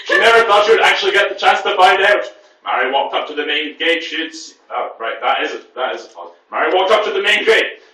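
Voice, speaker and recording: A man with a high, bright voice reads prose aloud to a group. He stumbles, corrects himself and adds exasperated asides. The room is very echoey and he sits back from the microphone. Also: lively intonation, fast. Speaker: reader at a writers' group reading. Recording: echoey room, distant from the mic.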